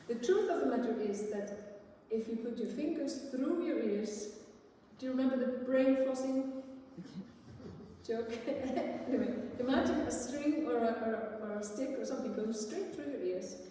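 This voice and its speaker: A woman from Iceland, a music teacher explaining things to a conference audience in an informal, halting way. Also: high-pitched, dark tone. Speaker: music teacher and viola player presenting. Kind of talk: conference talk with audience questions. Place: large indoor conference hall. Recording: echoey hall from far off, faint noise.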